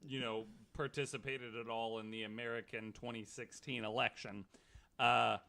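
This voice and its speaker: A man's voice: medium pitch, flat delivery, moderate pace, vocal fry, sharp tone. A man co-hosting a podcast with casual, joking, sarcastic banter. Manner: joking, sarcastic, mock-incredulous. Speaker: podcast co-host.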